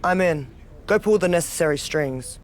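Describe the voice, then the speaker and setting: A woman's voice: on the low side, animated, normal speed, slight background noise, lightly acted. A woman playing a cocky, dominant, sardonic wrestler who relishes chaos in a video game cutscene. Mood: defiant, assured. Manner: sardonic, self-assured. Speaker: pro wrestler character.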